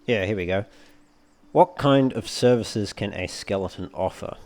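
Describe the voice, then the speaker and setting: A man giving casual, low-key let's play commentary, musing aloud as he plays. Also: normal speed, a little mellow. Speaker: gaming video host. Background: game music.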